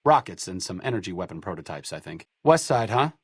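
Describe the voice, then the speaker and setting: A man's voice: sits low, sing-song, fairly quick, slightly rough, no echo, full character voice. A man playing a wry, bookish idealist in a video game, with a dry, erudite and sardonic way of talking. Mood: reflective. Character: wry, bookish male idealist medic, dryly sarcastic. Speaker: companion character in a role-playing video game. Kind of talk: video game character dialogue.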